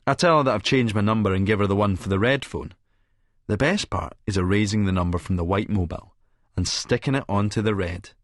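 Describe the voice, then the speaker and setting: Guy doing a smug scottish publican telling his own story, sardonic and wordy, kinda low voice, really dry recording. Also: a little fast, no echo, natural voice. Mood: smug, calculating. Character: cynical, vain male Edinburgh publican and schemer, smugly self-satisfied. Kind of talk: first-person audiobook narration in character.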